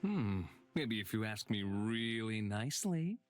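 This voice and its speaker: A man's voice: on the low side, very animated, a little slow, faint music behind. A man voicing a smug, flippant bounty hunter in a visual novel, sardonic and playful with a drawl. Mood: smug teasing.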